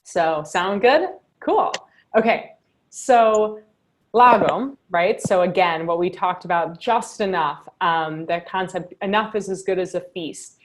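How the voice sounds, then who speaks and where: A woman's voice: on the low side, takes it slowly, a little hiss or hum. A woman hosting a journaling workshop over a video call, talking casually with many fillers and "right?" asides. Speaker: workshop host.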